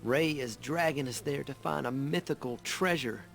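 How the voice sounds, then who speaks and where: An actor playing a devout, sorrowful younger brother in a Western game, speaking solemnly and earnestly. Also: male voice, medium pitch, moderate pace, creaky, a bit bright, faint music behind. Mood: pleading. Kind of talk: dramatic game narration and acted dialogue.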